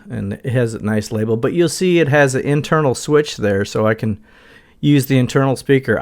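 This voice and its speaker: A middle-aged man giving a casual walkthrough as a guitar gear reviewer. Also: fairly low pitch.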